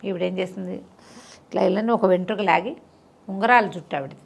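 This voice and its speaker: A woman's voice: medium pitch, brisk, vocal fry. A middle-aged woman tells a moral story conversationally, repeating phrases for emphasis and explaining the lesson simply.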